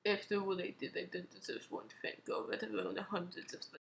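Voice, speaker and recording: A woman's voice: mid-range voice, flat delivery, a little fast, vocal fry, roomy sound, a little hiss or hum, pitched below the performer's own voice. A woman voicing a volatile, guilt-ridden animatronic fox in a comic dub, with an anguished, remorseful manner. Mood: subdued remorse.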